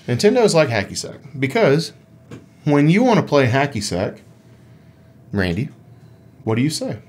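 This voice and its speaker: A man's voice: mid-range voice, sing-song, normal speed, a little mellow, husky. A man co-hosting a gaming podcast, chatting casually in a playful, rambling, explanatory way. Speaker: podcast co-host.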